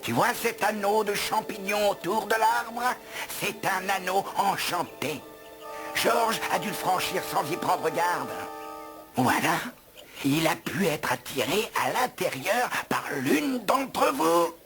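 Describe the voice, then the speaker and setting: Theatrical grumpy house elf, swinging pitch. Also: male voice, animated, takes it slowly, music in the background. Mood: impatient, instructive. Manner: theatrical, grumbling, wry. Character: grumpy gluttonous male house elf, reluctant guide. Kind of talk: animated film dub acting.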